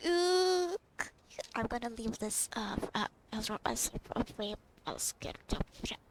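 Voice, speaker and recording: A woman's voice: on the high side, expressive, slow, noisy background. A woman giving casual, informal reaction commentary as she plays a video game, often in muttered asides. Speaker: gamer recording her playthrough. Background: game music.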